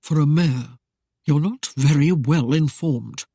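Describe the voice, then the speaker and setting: A man voicing a cold, aloof nobleman who speaks in a curt, measured way. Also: fairly high pitch, dark tone, breathy edge, dry recording, clean studio sound, exaggerated voice. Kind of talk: audiobook character voice.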